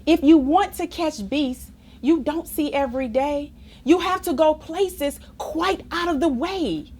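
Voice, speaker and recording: Female storybook reader, unhurried and highish in pitch, playful and emphatic, with big melodic swings. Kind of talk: expressive children's read-aloud with asides to listeners.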